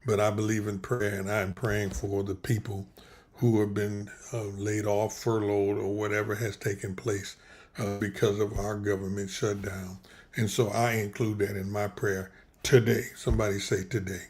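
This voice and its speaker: A man's voice: lowish voice, flat delivery, moderate pace, darkish tone. A man, a pastor, hosting a livestream in a casual, rambling way with many restarts, and he is losing his voice. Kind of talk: casual livestream talk with prayer.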